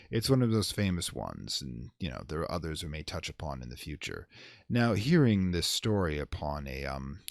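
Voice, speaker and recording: A very low-voiced man with a heavy gravelly creak delivers his words like a gothic horror host. His phrasing is theatrical and ornate, and his pitch moves dramatically up and down. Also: no echo, studio quality. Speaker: horror story reader and channel host. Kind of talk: dramatic gothic audiobook reading, then informal host sign-off.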